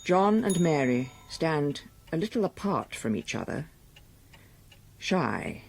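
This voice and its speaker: A male narrator reads a scripted radio drama. His voice sits a little high with a gravelly creak, and there is faint noise in the background. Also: big pitch swings, takes it slowly.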